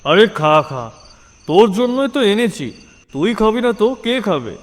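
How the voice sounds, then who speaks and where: A man playing a loving, self-sacrificing father in an audio story, coaxing and gently insistent. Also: sits high, sing-song, moderate pace. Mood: fond, insistent. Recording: long hall-like reverb.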